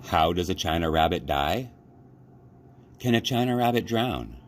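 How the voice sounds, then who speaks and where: Deep-voiced man reading a storybook expressively. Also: animated, unhurried, a little hiss or hum. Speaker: youth librarian reading aloud. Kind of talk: expressive children's storybook narration.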